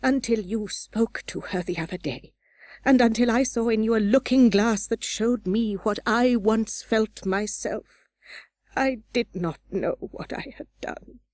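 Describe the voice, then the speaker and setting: A woman's voice: on the high side, darkish tone, dry recording, studio quality, close to an everyday voice. A woman playing a remorseful old wealthy recluse, trembling and anguished, in a dramatic audiobook reading. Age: older. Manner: slow, anguished, drawn-out delivery.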